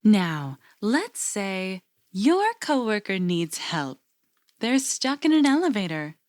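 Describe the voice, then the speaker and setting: A woman playing an unflappable, eerie agency interviewer in an audio drama, measured and clinical as she probes. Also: medium pitch. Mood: composed, probing. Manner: measured, clinical, calmly probing.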